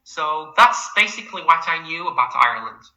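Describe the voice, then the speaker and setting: A young Algerian man in his twenties answers interview questions with polite eagerness. His voice has a very bright, forward tone. He speaks as a PhD student, adding a frequent "absolutely" and a steady, friendly pace. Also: a little high, fairly quick, highs cut off. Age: 20s.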